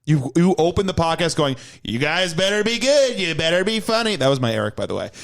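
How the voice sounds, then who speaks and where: A man's voice: fairly high pitch, very animated, brisk, creaky, a bit bright. A man guesting on a comedy podcast, teasing the host, swearing and goading him. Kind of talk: casual comedy podcast banter.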